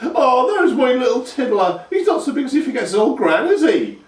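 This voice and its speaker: A man voicing a cantankerous, toothless old peasant grandmother who screeches and shouts. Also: very high-pitched, wildly swooping pitch, normal speed, far from the mic, voice pushed up, full character voice. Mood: doting proud. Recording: echoey room, voice from afar.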